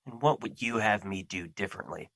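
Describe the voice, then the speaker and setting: A man's voice: lowish voice, fairly quick, fairly bright tone, no room sound, studio quality. A man playing Fear as a personified emotion in an audio drama, troubled, defensive and duty-bound, with earnest, formal phrasing.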